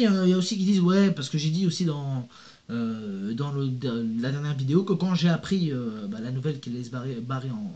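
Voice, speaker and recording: A young man holds forth to his camera in a casual, slangy rant. His voice sits a bit high for a man, and he keeps turning to the listener, stumbling into restarts and fillers as he goes. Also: dull audio. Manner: animated, slangy, addresses viewer with "tu vois" and "gros"; reads out hostile comments, many fillers and restarts. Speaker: YouTuber.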